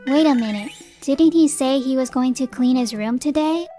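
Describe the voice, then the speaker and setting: A woman's voice: sits high, moderate pace, mellow, soft background music, natural voice. An actress playing a determined, exasperated young working wife and mother, narrating and speaking dialogue in a manga dub. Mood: exasperated narration. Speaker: narrator and lead character.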